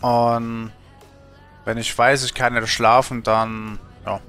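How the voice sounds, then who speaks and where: Slow-talking man streaming casually. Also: medium pitch, little pitch movement, fairly bright tone, soft background music. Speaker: game livestreamer. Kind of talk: casual livestream chat while gaming. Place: indoor home streaming setup.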